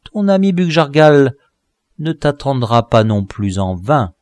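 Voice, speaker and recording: A man reading an audiobook voices a vengeful male dwarf jester in a high, loud, strained voice. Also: very animated, slow pace, no echo. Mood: snarling vengeful. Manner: shrill snarling threats, later high wailing pleas. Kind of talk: audiobook character voice.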